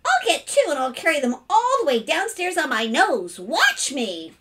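A woman's voice: very high-pitched, huge pitch swings, unhurried, voice pushed up, exaggerated voice. A woman voicing a cheerful, eager ten-year-old show-off boy in a children's storybook. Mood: pestering. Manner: bright, eager, pestering. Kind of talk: children's storybook read-aloud.

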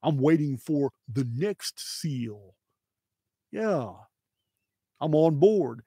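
A man's voice: fairly high pitch, lively intonation, very slow, dark tone, breathy edge, dry recording. A middle-aged man delivering an impassioned, preachy monologue to his viewers, repeating phrases for emphasis into a close studio mic. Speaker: channel host. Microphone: close studio microphone on boom arm.

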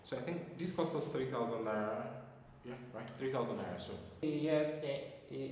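A young man with a Nigerian accent talks casually to his camera, chatty and a little scattered. His mid-pitched voice rings through a bare, echoey room with a long reverb tail, and music plays clearly behind him. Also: normal speed, background noise. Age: young adult. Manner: chatty, loose and excitable, trailing off with ums. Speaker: vlogger. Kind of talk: casual vlog chat. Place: small bare room at home, indoors. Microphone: lapel mic.

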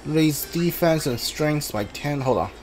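A video game player giving casual live playthrough commentary, thinking aloud in a halting way with mumbled asides. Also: medium pitch, animated, a little mellow, a little hiss or hum. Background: video game music.

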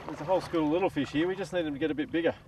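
A man's voice: fairly high pitch, very fast, a little mellow. Laid-back man explaining fishing outdoors. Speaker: fishing show presenter. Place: outdoors in shallow water on tidal flats. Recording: distant outdoor sound with clear wind and water noise.

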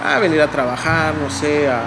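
A man's voice: fairly high pitch, steady tone, normal speed. Slangy Mexican guy, noisy café. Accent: Mexican Spanish. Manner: joking, swears a lot, laughs often. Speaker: vlogger host. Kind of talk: casual slangy chat. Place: indoor café at night.

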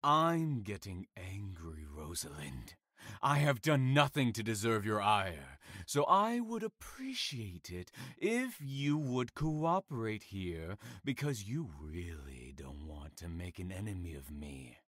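A man's voice: on the low side, big pitch swings, slow pace, dry recording, clean studio sound, exaggerated voice. An actor playing a sadistic, boastful male serial killer in an audio drama, with theatrical, taunting menace. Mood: cold menace. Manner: theatrical, swinging from quiet menace to raging shouts.

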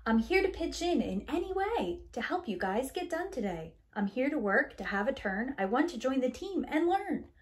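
A woman's voice: high voice, big pitch swings, normal speed, hardly any pauses. A woman voicing an eager, plucky young female construction truck from a storybook, earnest and helpful. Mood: eager, hopeful.

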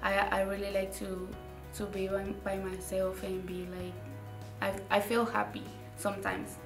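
A young woman from Mexico, a native Spanish speaker with a Mexican Spanish accent, answering interview questions in a halting way, repeating words and using many fillers. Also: medium pitch, completely flat delivery, echoey room, far from the mic, music in the background. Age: young adult. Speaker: graduating student. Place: indoor studio with dark curtain.

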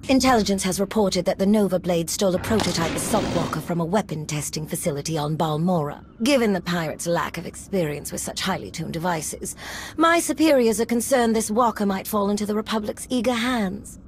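Woman playing military officer, medium pitch, formal, precise, deferential, video game, faint background noise. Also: lively intonation, fairly quick, lightly acted. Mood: formal concern. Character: dutiful female imperial officer briefing a superior.

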